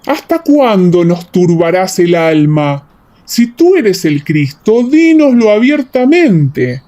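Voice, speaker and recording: A woman voicing indignant, accusing Judean elders in a dramatized audiobook, with emphatic, drawn-out phrasing and an echo. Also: medium pitch, big pitch swings, mellow. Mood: impatient, demanding.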